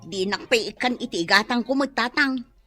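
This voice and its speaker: A woman with an Ilocano accent playing an envious, sharp-tongued grown daughter in a comedy radio drama. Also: on the high side, expressive, moderate pace, faint music behind. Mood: envious, miffed.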